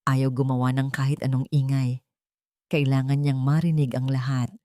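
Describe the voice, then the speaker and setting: Female Filipino storyteller, low voice, dry close recording, dramatic scripted romance read. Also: flat delivery, normal speed, warm tone, no echo. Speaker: story narrator.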